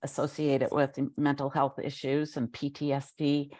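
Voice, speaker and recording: Low-voiced woman host, dry sound. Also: completely flat delivery, warm tone, dry recording. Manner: measured, explanatory. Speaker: interviewer host. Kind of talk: interview questions.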